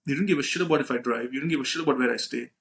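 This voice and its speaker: A young man chats at a quick clip in a mid-pitched voice, like a podcast host swapping stories. His delivery is informal and peppered with swearing, and he replays conversations line by line, tagging his points with "right?". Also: creaky, fairly bright tone. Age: young adult. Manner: informal, slangy, acts out dialogue, frequent "right?" tags. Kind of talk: casual anecdotal storytelling with profanity.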